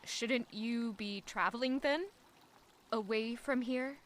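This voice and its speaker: A woman's voice: on the high side, slow, fairly bright tone, slight background noise. A woman voicing a troubled young maid who answers curtly and defensively in an audio drama. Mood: guarded, curt. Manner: curt, defensive replies.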